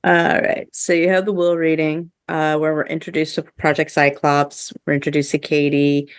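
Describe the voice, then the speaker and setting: A woman's voice: deep voice, little pitch movement, normal speed, smooth, dry recording, studio quality. A woman hosting a podcast in casual review chat, speaking informally and emphatically, with the odd swear word. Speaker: podcast host.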